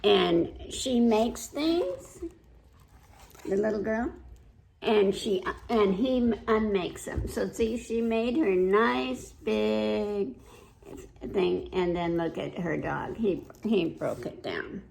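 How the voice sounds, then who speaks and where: A woman hosting a children's storytime, reading aloud and pausing to put simple questions to young listeners. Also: mid-range voice, lively intonation, creaky, darkish tone, rough recording. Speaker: children's library storytime host.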